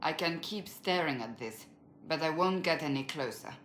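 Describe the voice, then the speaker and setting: A woman's voice: low voice, animated, moderate pace, fairly bright tone. An actress playing a self-aware, stubbornly focused woman in video game dialogue. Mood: rueful. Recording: echoey room, faint noise.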